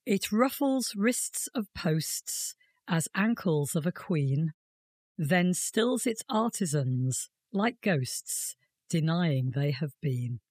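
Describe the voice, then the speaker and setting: A woman reciting poetry aloud as a volunteer reader, with measured, deliberate phrasing. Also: fairly low pitch, very animated, a little mellow, no echo.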